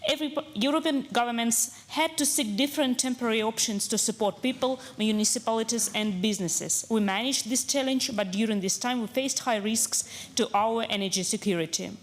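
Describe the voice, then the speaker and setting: A woman's voice: on the high side, fairly quick. A woman delegate giving a prepared conference speech in a formal, read-out way. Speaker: parliamentary delegate.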